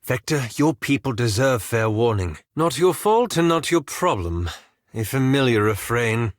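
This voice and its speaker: An actor playing a reserved, fastidious wizard in a video game, with formal, dryly sarcastic and understated phrasing. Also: male voice, medium pitch, expressive, no echo, studio quality, natural voice. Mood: dry, wry. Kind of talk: scripted video game character dialogue.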